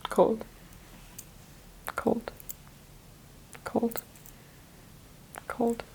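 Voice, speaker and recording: Woman, quiet ASMR patient, brief soft answers, very slow pacing, dark mellow timbre, faint background noise. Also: on the high side, completely flat delivery, creaky, low-quality recording. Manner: quiet, terse one-word answers. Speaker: patient in ASMR exam roleplay. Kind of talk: soft-spoken ASMR roleplay responses.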